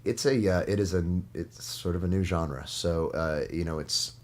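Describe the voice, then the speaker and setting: Very deep-voiced man in casual interview. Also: normal speed, darkish tone. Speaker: guest, stage performer. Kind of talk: casual studio interview chat. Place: studio. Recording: noticeable background noise.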